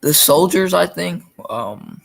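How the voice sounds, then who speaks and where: A man's voice: a little high, lively intonation, takes it slowly, creaky. A young man in casual chat, teasing and playful, then unsure when describing a landmark. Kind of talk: casual chat on a live call.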